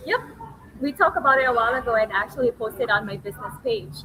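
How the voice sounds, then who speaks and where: A woman's voice: on the high side, fast. A woman in her forties hosting a casual livestream in a chatty, giggly storytelling manner. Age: 40s. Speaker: livestream host, online business owner. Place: restaurant, waiting for food. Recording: noisy ambience of a meal venue.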